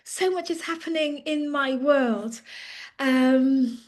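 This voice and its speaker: A woman's voice: high voice, slow pace, a little mellow. A British woman in her late fifties, a leadership coach giving a casual, chatty update straight to her viewers, with frequent fillers and restarts. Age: 50s. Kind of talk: casual direct-to-camera update.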